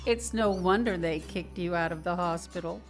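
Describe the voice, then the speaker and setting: An actress playing a kindly, wry care worker in a radio drama, reassuring and gently teasing. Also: female voice, lowish voice, moderate pace, soft background music, lightly acted.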